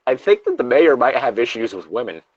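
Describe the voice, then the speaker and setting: A man's voice: medium pitch, sing-song, fairly quick, husky, dry recording, dull audio. A man reading horror stories as a co-host, with casual, sardonic, sweary asides. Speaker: co-host reading video game creepypastas.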